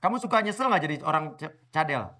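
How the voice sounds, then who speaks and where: A man's voice: fairly high pitch, expressive, quick pace, sharp tone. A man hosting a comedy variety show, teasing and questioning guests with a laughing, playful manner. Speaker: host.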